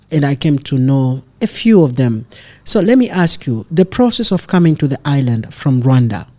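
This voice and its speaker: A man's voice: medium pitch, warm tone. A man hosting a podcast interview, introducing his guest in a formal, emphatic way and asking questions. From lives in Northern Cyprus as a foreign student. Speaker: podcast host.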